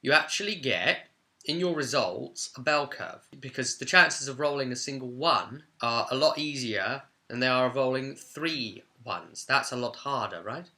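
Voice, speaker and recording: Man with a very bright, forceful voice. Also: medium pitch, expressive, normal speed. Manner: enthusiastic, conversational. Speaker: presenter of a tabletop game guide. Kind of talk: informal explainer of game rules.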